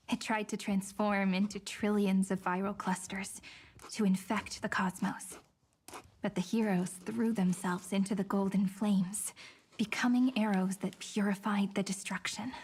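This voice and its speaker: An actress playing a gentle, playful young female sage in video game dialogue, with a soft, poetic storytelling manner. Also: mid-range voice, fairly even intonation, measured pace. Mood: solemn tender. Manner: soft, breathy, teasing, storytelling. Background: soft game music.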